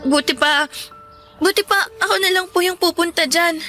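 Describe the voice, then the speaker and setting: Polite hurt teen daughter, Filipino accent, high-pitched, hesitant, pleading, radio drama, faint music behind. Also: female voice, steady tone, moderate pace, bright, forceful tone. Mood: pleading, sad. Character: meek, hurt teenage daughter pleading with her parents.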